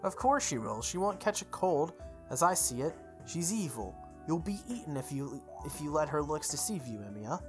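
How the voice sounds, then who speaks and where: A woman's voice: low voice, very animated, normal speed. A woman voicing a prim, judgmental male student, dry and pedantic, in a visual novel. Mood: dry, scolding. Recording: music playing behind the voice.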